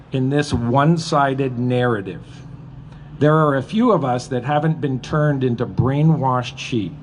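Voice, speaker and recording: A middle-aged or older man with a Canadian accent gives an earnest, deliberate, emphatic public speech at a live event. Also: medium pitch, a little mellow. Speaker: retired police officer speaking at a rally. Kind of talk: public speech, partly read from a letter. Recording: live event with background noise.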